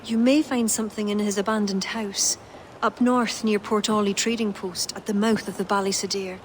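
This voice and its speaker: A woman's voice: medium pitch, fairly even intonation, normal speed, close to an everyday voice, poor audio. A woman playing an earnest guide. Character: young Irish woman, loyal guide. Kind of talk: video game dialogue. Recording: clear background noise.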